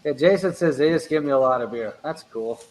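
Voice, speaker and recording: A man hosting a casual radio show, chatting in an animated way and reading out viewer comments. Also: on the high side, fairly quick, slight background noise, low-quality recording. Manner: animated, laughing, reads chat aloud. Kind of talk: casual banter.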